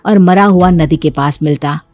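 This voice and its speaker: A woman's voice: sits low, moderate pace, a little mellow. A woman reading scripted folk-tale narration as a storyteller.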